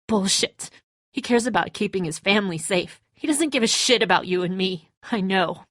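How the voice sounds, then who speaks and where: An actress playing a bitter, distraught, hot-tempered grown daughter in a dramatic video game, raw and confrontational. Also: female voice, medium pitch, expressive, moderate pace, fairly bright tone, no room sound, studio quality. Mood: bitter grief. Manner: raw, emotional, confrontational, sweary.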